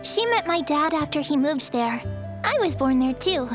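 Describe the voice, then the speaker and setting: A woman's voice: high-pitched, expressive, normal speed, muffled recording. A woman voicing an earnest, fretful young girl in a video game. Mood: wistful. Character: young girl, anxious and wistful about leaving. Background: game music.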